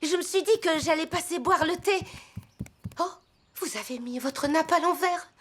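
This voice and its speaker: Excitable, very high-pitched cartoon girl. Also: normal speed, slight background noise. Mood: flustered. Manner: dramatic, ominous, excitable. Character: superstitious, dramatic young female cartoon friend. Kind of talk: children's cartoon dubbing. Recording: loud music behind.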